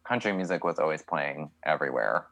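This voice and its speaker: A man's voice: rumbling low, expressive, a little fast, creaky, a bit bright, no echo, lo-fi sound. A young man from Canada, a country singer, answering interview questions in a chatty, candid way with many fillers.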